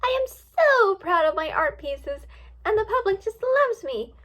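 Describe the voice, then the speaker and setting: A flamboyant storybook pegasus diva, put on by a woman. The voice is very high and animated, vain and gushing, with an affected showy delivery. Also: a little slow, heavily acted. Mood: gushing, smug.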